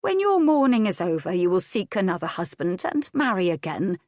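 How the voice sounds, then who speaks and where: A woman's voice: medium pitch, very animated, normal speed, a little mellow, no room sound, clean studio sound, natural voice. A woman voicing a soothing old nursemaid. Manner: coaxing, comforting endearments. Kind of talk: audiobook character voice.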